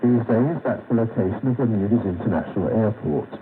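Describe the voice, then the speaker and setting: A man narrating a scripted history segment on a radio program, in a measured, read-aloud way. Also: lowish voice. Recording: dull shortwave radio reception with static.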